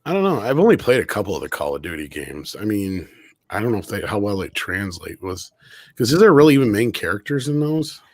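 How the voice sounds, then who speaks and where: A man's voice: fairly low pitch, big pitch swings, a little fast, no echo, clean studio sound. A man hosting a casual live panel chat, talking off the cuff with viewers and co-hosts. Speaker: host.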